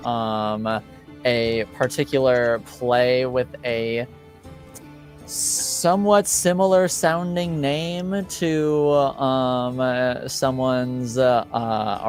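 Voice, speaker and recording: A man leads a tabletop game as game master, narrating with a relaxed, searching delivery full of small pauses. His voice sits at an ordinary pitch, and soft music plays faintly under him. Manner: casual, halting with fillers.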